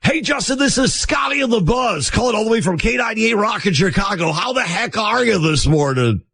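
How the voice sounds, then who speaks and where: A man putting on an overexcited male rock-radio DJ making a prank call, with shouty, falsely cheerful patter and a husky voice. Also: sits high, lively intonation, brisk, slight background noise, pitched above the performer's own voice, full character voice. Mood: hyped, cheery. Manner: hyped, shouty announcer patter.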